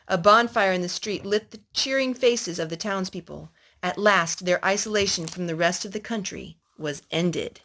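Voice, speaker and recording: A woman's voice: mid-range voice, normal speed, hardly any pauses, fairly bright tone. A woman storyteller reading a historical children's story aloud to a young listener.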